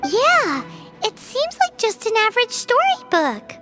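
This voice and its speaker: A woman's voice: very high-pitched, wildly swooping pitch, a little slow, over music, heavily acted. A woman voicing a small, cheeky, chirpy fairy companion in a video game, childlike and exclamatory. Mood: curious. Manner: childlike, exclamatory, speaks of self in third person.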